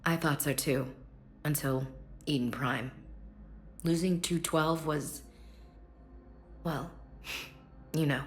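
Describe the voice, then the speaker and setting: A woman's voice: sits low, steady tone, slow, long pauses, soft background music. A woman playing a guarded, frank soldier with a wry streak in a video game. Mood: subdued, troubled.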